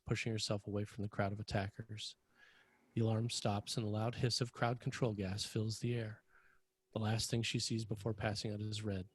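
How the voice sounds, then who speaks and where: Male table-read narrator: deeper, husky, dry sound, scripted delivery of stage directions. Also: a little mellow, no echo.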